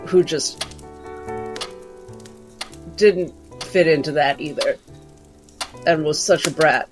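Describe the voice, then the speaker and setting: A woman's voice: low-pitched, takes it slowly. A woman playing a tabletop game, improvising her character's thoughts in the third person in a reflective, wry way. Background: background music.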